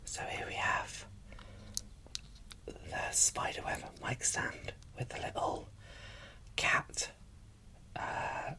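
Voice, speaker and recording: A British ASMR creator giving a casual, chatty unboxing commentary in a soft whisper. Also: drawn-out words, long pauses, low-quality recording.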